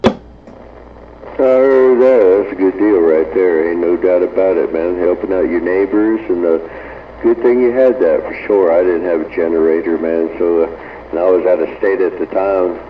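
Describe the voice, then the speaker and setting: Deep Southern man on tinny CB radio. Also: normal speed. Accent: Southern American. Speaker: amateur radio operator. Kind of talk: casual radio chat. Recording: tinny, distant, noisy CB radio reception.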